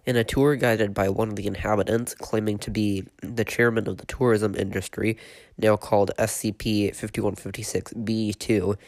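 A man hosting a podcast, stuffy from a cold, reading a written horror report in a steady, matter-of-fact way with a few hesitations. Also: fairly low pitch, normal speed, gravelly, no echo. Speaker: podcast host and narrator.

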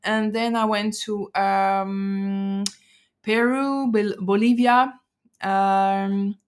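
A woman's voice: mid-range voice, fairly even intonation, drawn-out words, clear, resonant tone. French-accented woman chatting, hesitant. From French and Algerian. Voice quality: hesitant, searching for words, laughs often. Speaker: podcast guest. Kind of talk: casual chat.

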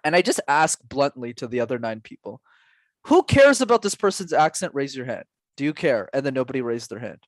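A man's voice: medium pitch, very animated, a little fast, no echo. A man in his twenties, a public speaking coach answering interview questions in an earnest, emphatic way, full of rhetorical questions. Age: 20s.

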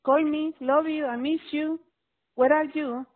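Slow, high-voiced woman on the phone. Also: steady tone. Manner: affectionate, pleading. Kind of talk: personal voicemail. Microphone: telephone. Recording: narrow, tinny telephone line.

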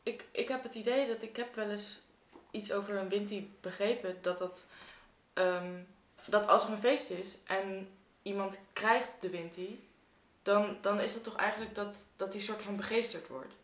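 A woman's voice: mid-range voice, steady tone, normal speed. A woman chatting casually, interjecting and thinking aloud in a tentative way. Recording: bright, echoing room recording.

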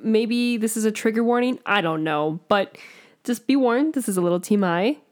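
A young woman co-hosting a podcast, telling a candid story in a giggly, animated way. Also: a little high, normal speed. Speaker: podcast co-host. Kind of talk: casual podcast chat.

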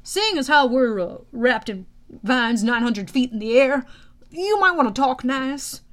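A woman voicing a nervous, excitable male flying horse sidekick in an audiobook, with informal, hesitant, slangy talk. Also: high-pitched, a little fast, voice pushed up. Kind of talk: audiobook character voice.

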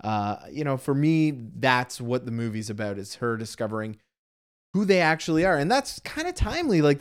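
A man's voice: medium pitch, clean tone. A Canadian man co-hosting a casual movie talk, reflective and rambling, with lots of "you know" and "like". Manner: reflective, rambling, many fillers. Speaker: film podcast co-host. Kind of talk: casual movie review chat.

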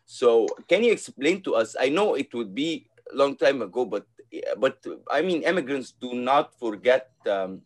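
A man's voice: mid-range voice, animated, normal speed. Hesitant male podcast host from Egypt. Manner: hesitant, many fillers and restarts. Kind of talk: casual interview.

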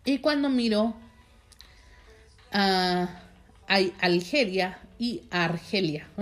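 A woman's voice: mid-range voice, very slow. A young woman with a Mexican accent tells a personal story in a casual, rambling way, with many fillers and asides to her viewers. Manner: chatty, rambling, heavy use of fillers, addresses viewers directly. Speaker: vlogger telling a personal story.

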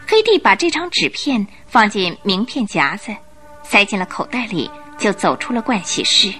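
A woman narrating a radio drama in an expressive, emotive storytelling style from a script. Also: medium pitch, moderate pace. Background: music.

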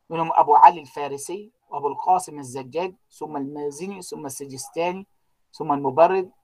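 A man's voice: a little high, a little mellow. Lecturer quizzing his class. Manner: keeps quizzing students with unfinished sentences, many drawn-out fillers. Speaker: university lecturer.

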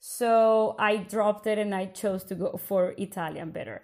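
A woman's voice: mid-range voice, measured pace, darkish tone. A young Colombian woman with a Spanish accent, co-hosting a podcast in a thoughtful, casual way with fillers. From Bogotá, Colombia. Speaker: podcast co-host. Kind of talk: casual chat.

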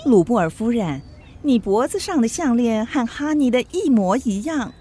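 Nosy rich French widow, highish husky voice, unhurried, affected, radio drama. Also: female voice, sing-song, dark tone. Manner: exclaiming, inquisitive, affected.

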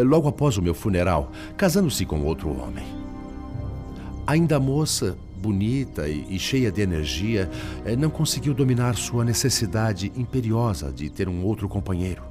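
An actor with a Brazilian accent playing a sorrowful male spirit, once a principled lawyer, in formal, eloquent radio-drama style. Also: lowish voice. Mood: anguished, ashamed. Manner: formal, eloquent, sorrowful, halting when troubled.

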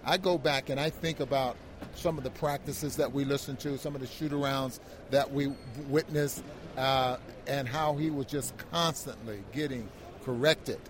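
An American man giving conversational post-game basketball commentary as an analyst, halting and reflective, often repeating words. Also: medium pitch, fairly even intonation, background noise.

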